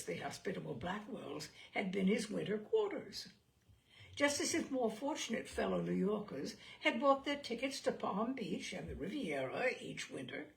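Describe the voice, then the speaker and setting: Slow, lilting woman storyteller with creaky voice. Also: mid-range voice. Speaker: storyteller reading a short story aloud. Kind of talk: expressive literary story narration.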